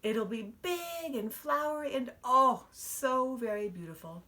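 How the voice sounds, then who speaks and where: Woman to play a dramatic, wistful young storybook girl, high-pitched and full of swoops. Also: animated, a little slow, bright, forceful tone, heavily acted. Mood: dreamy, wishful. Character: dreamy, dramatic little girl, easily disappointed.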